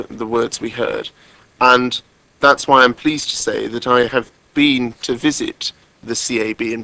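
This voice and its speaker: A man delivering a formal speech as a councillor at a council meeting, in a measured, deliberate way with emphatic repetition. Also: mid-range voice, gravelly, sharp tone, rough recording. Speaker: councillor, cabinet member.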